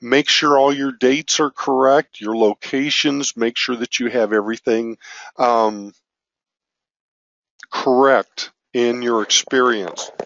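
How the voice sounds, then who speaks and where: A man teaching an online business course, his voice raspy from allergies, with an occasional cough. He talks in a loose, self-correcting way, mid-pitched, on a dry, slightly muffled recording. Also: fairly even intonation, takes it slowly, fairly bright tone, no echo. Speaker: business marketing instructor. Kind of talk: screen-share course walkthrough.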